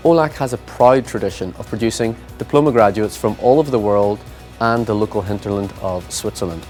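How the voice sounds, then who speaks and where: A man's voice: lowish voice, darkish tone. A man giving formal, scripted narration for a school promotional video. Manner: formal, measured reading. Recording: music bed underneath.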